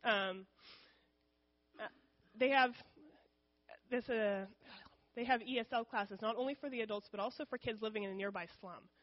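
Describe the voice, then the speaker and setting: A woman's voice: on the high side, normal speed, long pauses, highs cut off. A woman giving an informal missions talk to her church in a candid, conversational way, with frequent ums. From United States.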